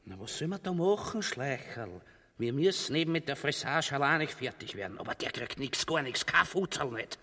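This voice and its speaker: A man's voice: medium pitch, expressive, moderate pace, bright, forceful tone. An actor with an Austrian accent playing a grumbling, hesitant henchman speaking colloquially in a grotesque comic radio drama. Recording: long hall-like reverb.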